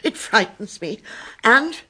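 A fretful older English lady companion, muddled and easily alarmed. Her high voice is very husky and swings wildly up and down as she flutters through her lines in radio drama style. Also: very animated, takes it slowly, sharp tone, exaggerated voice.

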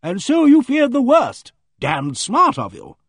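A man voicing a loud, blunt, self-assured middle-aged woman who booms her opinions. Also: huge pitch swings, unhurried, slightly rough, no echo, studio quality, full character voice. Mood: brisk, booming. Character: booming, bossy, complacent middle-aged woman.

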